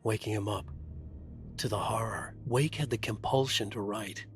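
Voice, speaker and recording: A man's voice: fairly low pitch, normal speed, soft background music, natural voice. A man playing a haunted writer in a video game, narrating his nightmare in terse, brooding, dramatic lines. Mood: bleak, intense. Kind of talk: scripted noir-style game narration.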